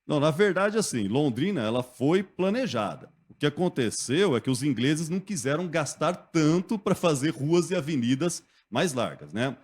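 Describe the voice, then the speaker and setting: Lively storytelling man on radio. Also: fairly high pitch, normal speed, hardly any pauses, slightly rough. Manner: lively storyteller, acts out quotes, often asks "né?". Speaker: radio guest telling local history. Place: radio studio.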